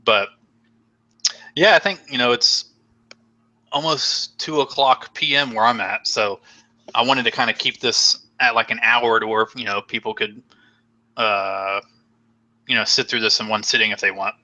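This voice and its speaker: A man's voice: mid-range voice, moderate pace, pauses often, bright, forceful tone, poor audio. A young man hosting a casual live stream chat, rambling and joking with many fillers while losing his voice. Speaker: host.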